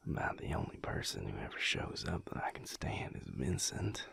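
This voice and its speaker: A man playing a surly but affectionate vampire cowboy lover in an intimate audio roleplay, with a Southern US accent and a slow, wry, breathy, gravelly murmur. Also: a bass voice, big pitch swings, a bit bright, heavily acted. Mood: relaxed, sardonic. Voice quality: breathy, gravelly, half-whispered.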